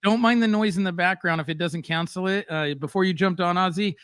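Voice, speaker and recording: Animated man hosting a live chat. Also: high voice, quick pace, clear, resonant tone, no room sound, studio quality. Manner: animated, blunt storytelling with frequent fillers. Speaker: host. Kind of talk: casual live-stream chat.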